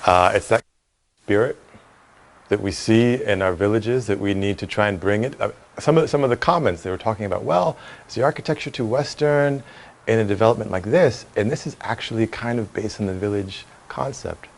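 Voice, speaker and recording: Interviewee, male, middle-aged, American accent, lowish pitch, earnest and articulate executive. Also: a little fast, creaky. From Cleveland, United States. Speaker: real estate executive being interviewed. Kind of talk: conversational interview.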